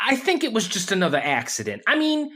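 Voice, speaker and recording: High, brash drilling crew boss, played by a woman. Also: wildly swooping pitch, quick pace, pushed and bright, heavily acted. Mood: persuading. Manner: blunt, bossy, slangy. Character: brash, impatient oil-drilling crew boss, hard-driving. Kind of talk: old-time radio drama acting.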